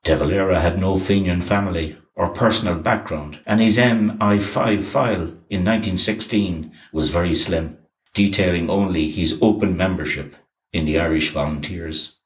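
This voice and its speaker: Deep-voiced man narrating history in an echoey room. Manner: even, measured reading of dates and names. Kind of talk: scripted documentary narration.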